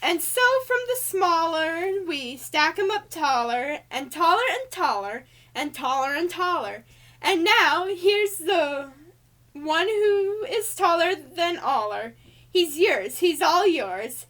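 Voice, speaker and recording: A very high-pitched cartoon angel lady, played by a woman, reads a children's storybook aloud. Her delivery is theatrical and sing-song, swooping widely through the rhymes and leaning hard on key words. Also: lively intonation, slow pace, fairly bright tone, heavily acted. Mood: bright, excited. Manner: theatrical, exaggerated emphasis, showy. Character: Alice Angel, female cartoon angel reading a bouncy birthday storybook.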